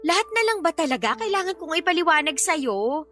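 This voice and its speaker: A woman plays a sharp-tongued young Filipina mother bickering in an audio drama. Her voice is very high and bright, with a scornful, dismissive manner. Faint music sits behind her. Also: big pitch swings, fairly quick, full character voice. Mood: indignant, scolding. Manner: sassy, scolding, dismissive. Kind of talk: Filipino radio-style drama acting.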